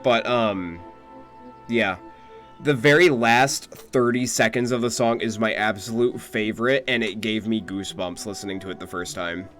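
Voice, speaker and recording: A young man chatting casually on a game livestream, thinking aloud in a halting way. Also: lowish voice, a bit bright. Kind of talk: casual stream chat. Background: game music.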